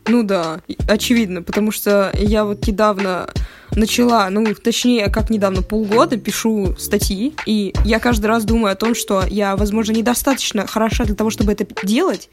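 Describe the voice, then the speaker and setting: A woman's voice: mid-range voice, steady tone, moderate pace, music in the background. A woman in her twenties co-hosting a podcast in casual, slangy, giggly chat. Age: 20s. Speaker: podcast co-host.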